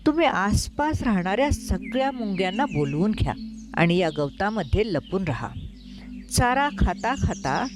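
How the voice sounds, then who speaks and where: A woman's voice: medium pitch, animated, moderate pace, lightly acted. A woman voicing a stern but compassionate male god who instructs firmly in a told story. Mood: resolute. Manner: firm, instructing.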